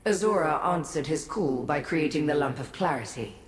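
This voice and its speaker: An actress playing an ancient, aloof godlike being in a fantasy game, speaking formally and with haughty, cryptic condescension. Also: female voice, deep voice, animated. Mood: grave, composed. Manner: measured, formal, condescending to mortals. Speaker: video game character. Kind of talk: scripted fantasy game dialogue. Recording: echoey, long reverb.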